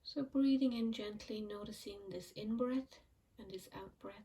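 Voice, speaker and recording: A woman leading a guided mindfulness meditation, speaking slowly and softly with long pauses. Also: mid-range voice, recorded from a distance, poor audio. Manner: slow, soft and soothing, with frequent pauses. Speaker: meditation teacher.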